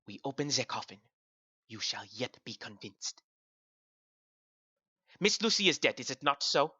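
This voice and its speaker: An actor playing a grave, commanding older professor who hunts vampires, with solemn, urgent pronouncements in a radio drama. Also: male voice, mid-range voice, very animated, unhurried, husky, no echo, lo-fi sound, exaggerated voice. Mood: grave, persuasive. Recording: loud music under the voice.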